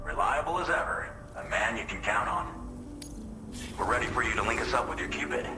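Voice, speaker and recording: An actor playing a courteous, familiar male research lab contact in a video game cutscene, speaking in a measured way that softens into breathiness. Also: medium pitch, lively intonation, heavy background noise, close to an everyday voice. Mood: calm, appreciative. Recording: echoey, music behind.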